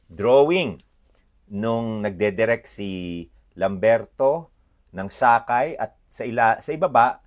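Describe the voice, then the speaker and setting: A man with a Filipino accent informally presenting a book's illustrations, often pausing mid-sentence to find his words. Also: lowish voice, animated. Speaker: read-aloud presenter. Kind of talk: informal commentary showing book illustrations.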